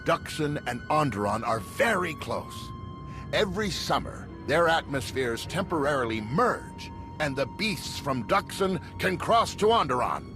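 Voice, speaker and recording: Slow-talking, exasperated official, wildly swinging pitch, music behind. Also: male voice, sing-song. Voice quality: exasperated, contemptuous. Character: gruff angry male Republic official. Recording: game cutscene with music behind.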